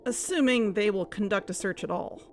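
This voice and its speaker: An actress as a measured, practical woman hosting an investigative fiction podcast. Her voice is mid-pitched. Soft music runs behind it. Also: lightly acted. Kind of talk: audio drama podcast narration and dialogue.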